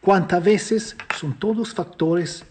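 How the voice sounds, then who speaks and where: A man's voice: sits high, takes it slowly, darkish tone, husky. A man teaching physiotherapy in Spanish, which is not his first language, who keeps asking and answering his own questions. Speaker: physiotherapy lecturer. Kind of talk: teaching a course, explaining slides.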